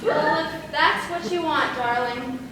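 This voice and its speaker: Woman playing a high-voiced, vain movie star, echoey stage. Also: takes it slowly, sharp tone, exaggerated voice. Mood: defensive, self-pitying. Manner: theatrical, self-absorbed, grand. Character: vain, self-dramatizing movie star woman in her forties. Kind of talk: stage play acting. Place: stage in a large hall, far from microphone. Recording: distant, echoey, noisy stage recording.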